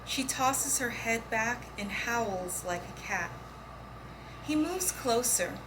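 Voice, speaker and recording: Female poetry reader, fairly high voice, clear background noise on the recording. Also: animated, slow. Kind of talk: poetry reading aloud.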